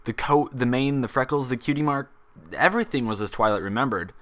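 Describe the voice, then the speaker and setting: A man reading a story aloud as an expressive scripted narration. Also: medium pitch, fairly quick. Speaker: fan fiction reader.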